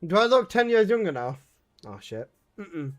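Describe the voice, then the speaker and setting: A man's voice: high voice, sing-song, moderate pace. A man in his twenties, a game streamer chatting casually and jokingly with his live chat, swearing often. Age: 20s.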